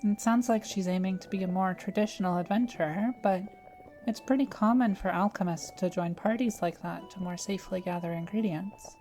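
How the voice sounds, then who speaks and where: A woman playing a gentle, patient young alchemist who explains things calmly and matter-of-factly in a fantasy audio drama. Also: medium pitch, a little fast, faint music behind, close to an everyday voice. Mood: calm, thoughtful. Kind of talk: fantasy audio roleplay acting.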